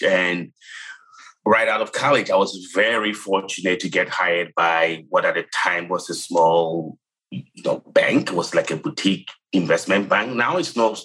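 Ghanaian man, late 30s, deep and bright; thoughtful finance guest, restarts often. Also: poor audio. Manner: thoughtful, with frequent restarts and "you know". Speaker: CFO guest. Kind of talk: interview, telling his career story.

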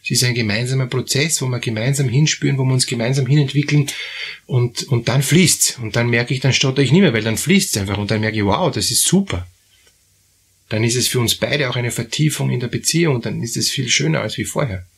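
A man hosting an advice podcast, talking in a halting way with many fillers and repeated words. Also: medium pitch, creaky, husky. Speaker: therapist host. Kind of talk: advisory podcast talk.

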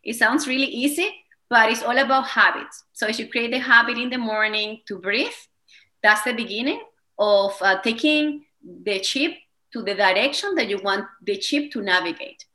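A woman's voice: a little high, moderate pace, bright, forceful tone. A woman in her thirties with a Venezuelan Spanish accent, a wellness coach speaking English as a second language, talking enthusiastically as an interview guest. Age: 30s. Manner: enthusiastic, slightly non-native phrasing. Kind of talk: interview conversation.

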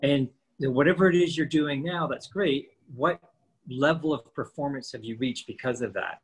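A man's voice: mid-range voice, normal speed, husky, poor audio. A man in his fifties co-hosting a casual podcast, explaining training and nutrition with lots of rhetorical questions. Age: 50s.

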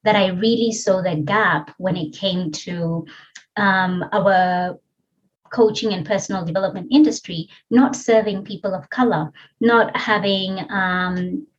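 A woman's voice: mid-range voice, unhurried, lo-fi sound, slight background noise. A middle-aged woman from Kenya with a Kenyan accent, a podcast guest telling her story earnestly and reflectively, with many fillers. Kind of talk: interview conversation.

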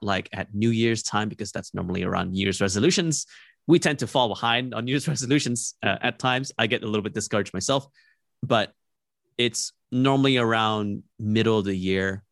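Young man from Malaysia, low voice, dry close sound, reflective interview guest. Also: a bit bright, no echo. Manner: thoughtful, measured, frequent "like" and restarts. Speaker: podcast guest.

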